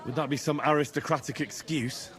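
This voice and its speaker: An actor playing a patient, practical young commoner in a video game, down-to-earth and a little wry. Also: male voice, on the high side, steady tone, brisk, some chatter behind, lightly acted. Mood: wry, resigned. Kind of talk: dramatic video game dialogue.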